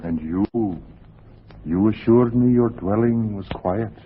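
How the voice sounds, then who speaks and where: An actor playing a weary, pious and menacing gentleman lodger in 1940s radio suspense style, speaking formally and deliberately. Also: lowish voice, slightly rough. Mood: stern. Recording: muffled, noisy 1940s radio recording.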